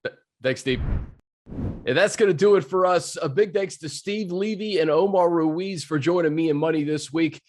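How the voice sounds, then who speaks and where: A man's voice: a little high, moderate pace. A man hosting a sports podcast, asking the guest questions and closing the show with a friendly sign-off. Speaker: podcast host. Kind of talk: casual interview and show outro.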